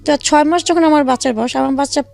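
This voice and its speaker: A young woman from Bangladesh, telling her life story to an interviewer in a halting way with many fillers. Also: high voice, creaky, darkish tone. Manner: halting, many fillers and restarts, re-enacts dialogue. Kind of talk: casual spoken storytelling.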